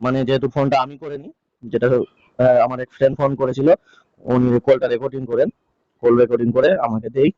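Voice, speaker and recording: A man's voice: medium pitch, little pitch movement, fast, no room sound. A man hosting a casual vlog update, talking in a repetitive, pleading way. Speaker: video host. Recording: dull, lo-fi close dry sound.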